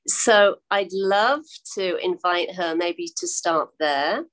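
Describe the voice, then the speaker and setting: An older woman hosting an interview over a video call, speaking in an encouraging way with drawn-out phrasing. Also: on the low side, fairly bright tone, poor audio. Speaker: host. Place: home room. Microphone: video call webcam.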